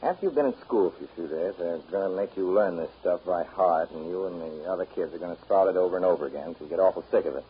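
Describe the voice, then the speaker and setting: Deep-voiced, fatherly American storyteller, played by a man. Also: fast, few pauses, a little hiss or hum, close to an everyday voice. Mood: fatherly, earnest. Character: plain-spoken American man, fatherly storyteller teaching a boy. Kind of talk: 1930s radio drama acting. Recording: muffled 1930s radio recording with music.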